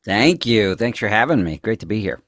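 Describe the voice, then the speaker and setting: A man's voice: fairly low pitch, animated, fairly quick, a bit bright, no room sound, studio quality. A man chatting casually as an interview guest, rambling along in a self-effacing way.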